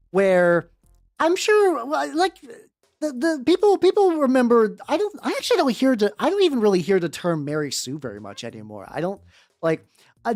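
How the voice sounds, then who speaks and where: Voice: mid-range voice, a little mellow, dry recording. A livestream host chatting casually in a rambling, slangy, sweary way that often repeats phrases. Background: background music.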